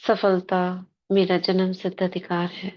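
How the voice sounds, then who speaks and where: A woman guides a spiritual meditation in a fairly low, very even voice. She speaks very slowly, in short devotional phrases separated by pauses. The recording is slightly dull and lo-fi. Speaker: meditation leader.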